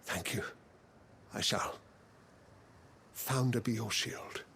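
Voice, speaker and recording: An actor playing a courteous, deferential male attendant to a noble house in a video game, formal and measured. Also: on the low side, gravelly, pushed and bright, slight background noise, exaggerated voice. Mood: deferential, earnest. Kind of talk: video game cutscene acting.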